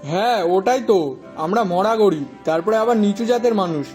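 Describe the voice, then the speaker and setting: A man's voice: high-pitched, fairly quick, darkish tone, faint music behind, lightly acted. A man playing a despairing, destitute villager in a folk-tale drama. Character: despairing, destitute low-status male villager.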